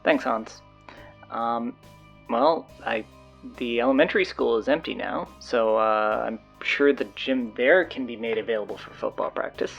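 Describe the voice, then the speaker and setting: A man playing a flustered, sardonic stand-in high school announcer, rambling hesitantly and casually through audio drama announcements. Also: unhurried, vocal fry, fairly bright tone, highs cut off, soft background music.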